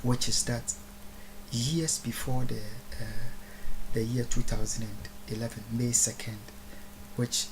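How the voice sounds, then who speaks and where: Ghanaian guy doing a formal speech, halting w long pauses, pretty monotone, clear background noise on a home recording. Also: medium pitch. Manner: halting, with long pauses and deliberate repeated phrases; formal, oratorical. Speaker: speaker recording a video address. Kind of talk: solemn public address, unscripted.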